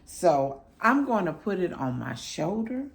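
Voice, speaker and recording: Hoarse, slow-talking woman reviewer. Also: lowish voice, very animated, noisy background. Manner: affectionate, chatty, pious greetings. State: hoarse, crackly voice after illness. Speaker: product reviewer. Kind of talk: casual product review.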